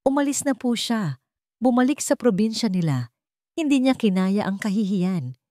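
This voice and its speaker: A woman voicing a humbled grown son who speaks softly and politely, his voice breaking with tears, in a dry storybook narration. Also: mid-range voice, very animated, moderate pace, no echo, pitched above the performer's own voice, lightly acted. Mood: humble, ashamed. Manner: soft, quiet and polite.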